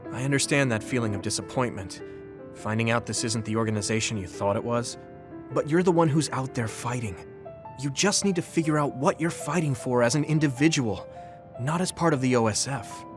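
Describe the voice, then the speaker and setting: An actor playing an earnest, caring young soldier in a video game, sincere and encouraging. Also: male voice, medium pitch, fairly quick, music in the background, close to an everyday voice. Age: young adult. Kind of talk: English video game voice acting.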